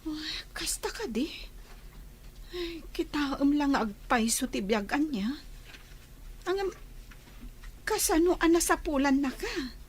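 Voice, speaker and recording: An actress with a Filipino accent playing a supportive, caring young wife in a radio drama. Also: female voice, high voice, expressive, slow pace, long pauses, a little hiss or hum. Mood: curious, indignant.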